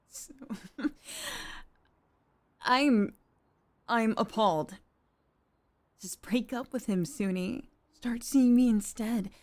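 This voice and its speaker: A woman performs a distressed young woman in an audio roleplay drama, cornered by her boss. Her voice sits fairly high and she speaks slowly. The delivery is halting and full of sighs, emotionally wounded. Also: a little mellow, heavily acted. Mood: appalled, confrontational. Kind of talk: dramatic ASMR roleplay monologue.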